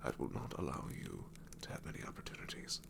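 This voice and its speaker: A man's voice: brisk, close to an everyday voice. A man playing an ancient, sassy shadow demon in an ASMR roleplay, wry and world-weary but tenderly reassuring, often slipping into a whisper. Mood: earnest advice. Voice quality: very deep, gravelly, often breaking into whisper.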